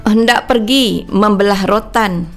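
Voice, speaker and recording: A woman co-hosting a radio chat, trading rhymed verses with her co-host, laughing often and pausing on fillers. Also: medium pitch, faint music behind.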